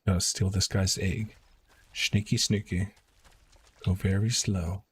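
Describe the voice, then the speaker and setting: A man's voice: low-pitched, little pitch movement, takes it slowly, breathy edge. A man doing casual gameplay commentary as he streams a video game. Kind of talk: casual gameplay commentary, reading quest dialogue aloud. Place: indoors, live stream.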